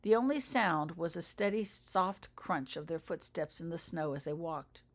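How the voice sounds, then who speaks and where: A woman narrates her own story in audiobook style. Her voice sits a little low for a woman, and her intonation moves up and down freely. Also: lively intonation, normal speed. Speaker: author reading own story. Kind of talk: audiobook narration of children's fan fiction.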